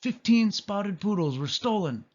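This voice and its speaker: A man's voice: sits high, lively intonation, unhurried, dull audio, pitched above the performer's own voice. Man playing a blustery sheepdog colonel. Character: gruff, dismissive male sheepdog colonel.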